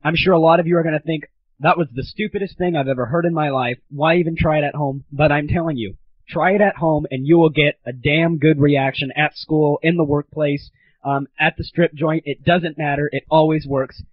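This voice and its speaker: A man's voice: a little high, fairly even intonation, brisk. A teenage man hosting a casual comedy monologue on a gaming audio show, irreverent, crude and mock-grandiose, on a lo-fi recording. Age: teens. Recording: dull lo-fi 1990s internet audio.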